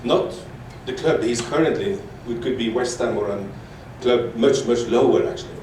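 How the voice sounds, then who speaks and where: A man from Norway asking a question from the floor at a panel, halting and repeating his words. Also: medium pitch, darkish tone, a little hiss or hum. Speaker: audience member asking a question. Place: large echoey conference hall. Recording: echoey hall audio from far off.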